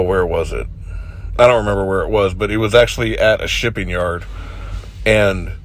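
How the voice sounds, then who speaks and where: Congested man chatting casually. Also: on the low side. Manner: laid-back, joking, swears freely. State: congested with a cold. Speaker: truck driver. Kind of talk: casual driving vlog.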